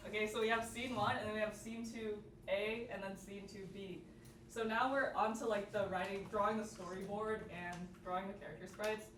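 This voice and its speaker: A woman's voice: medium pitch, steady tone, moderate pace, fairly bright tone. Young woman, casual workshop talk, chatty, echoey, noisy. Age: young adult. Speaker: student workshop presenter. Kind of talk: casual, informal presentation. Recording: echoey, noisy room, distant mic.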